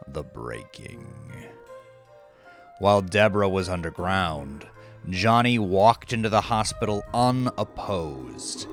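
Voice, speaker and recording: A man with a very low, creaky voice reads dark fiction in a dramatic audiobook style. He takes his time, weighing each phrase with brooding deliberation, and often lets the ends sink toward breath. Soft music sits faintly behind him. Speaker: novelist reading own novel, podcast host. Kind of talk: dramatic scripted audiobook narration. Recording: faint music bed under voice.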